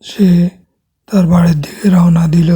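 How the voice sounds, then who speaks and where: A woman's voice: on the low side, flat delivery, a little slow, mellow, husky, big-room sound. A woman narrating a scripted children's cartoon story.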